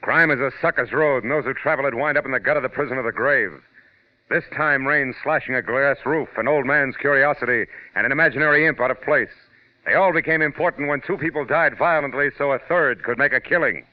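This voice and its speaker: A man's voice: medium pitch, a little fast, lightly acted. A man playing a wry, hardboiled private detective in 1940s radio drama style, narrating in clipped, punchy lines. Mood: hard, dramatic. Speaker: lead actor and narrator. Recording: tinny vintage radio broadcast, music behind.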